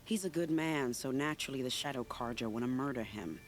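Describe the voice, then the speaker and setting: A woman playing a sly, secretive, charming spy in a video game, teasing in a silky, unhurried way. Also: a bass voice. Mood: sly, confiding. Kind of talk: video game cutscene acting.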